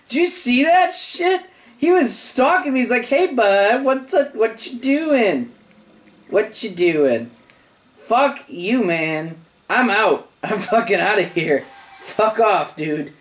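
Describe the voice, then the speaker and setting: A host playing a horror game, scared and swearing, with a voice that is wearing out from yelling. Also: medium pitch, expressive, slow pace. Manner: frightened, swearing, bursts of yelling at enemies. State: voice giving out. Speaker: gaming channel host. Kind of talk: live let's-play commentary. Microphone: gaming mic.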